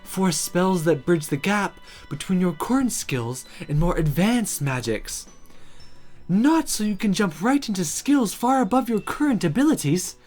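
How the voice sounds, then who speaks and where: A streamer as a stern, measured woman magic teacher. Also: mid-range voice, very animated, darkish tone, soft background music. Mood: scolding. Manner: formal, measured, exasperated. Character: stern but secretly fond adult female magic teacher.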